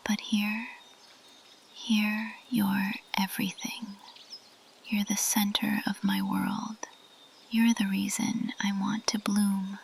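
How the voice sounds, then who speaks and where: A woman voicing a possessive, lovestruck ancient plant monster girl in an intimate, husky whisper, as ASMR roleplay close to the microphone. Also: mid-range voice, level tone, a little hiss or hum. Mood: tender, adoring. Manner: slow, intimate, possessive murmuring.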